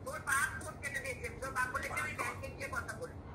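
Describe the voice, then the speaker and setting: A woman's voice: high-pitched, steady tone, racing. A middle-aged woman, retelling things casually on a distant, echoey, very noisy recording. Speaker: mother, prank victim. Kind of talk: animated retelling in casual chat.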